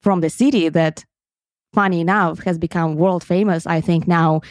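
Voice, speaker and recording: A woman's voice: lowish voice, fairly even intonation, moderate pace, dry recording, clean studio sound. A woman in her thirties from Crimea whose first language is Russian, telling a personal story on her podcast in an earnest, reflective way. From Crimea, Ukraine. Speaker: podcast host.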